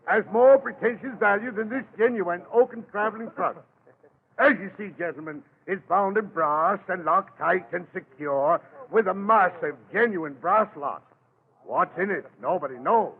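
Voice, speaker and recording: A wheedling, pompous auctioneer with a creaky, gravelly voice. The delivery is theatrical salesman patter in old radio horror style. The sound is narrow and muffled, like a vintage broadcast. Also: high-pitched. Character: wheedling, pompous English auctioneer hiding sinister knowledge.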